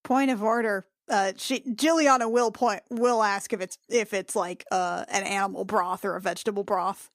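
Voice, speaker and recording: A woman's voice: mid-range voice, steady tone, normal speed, hardly any pauses, no echo, studio quality. A woman chatting casually as a player in a tabletop game, wry and given to swearing. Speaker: player in a tabletop role-playing podcast. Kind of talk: casual improvised game chat.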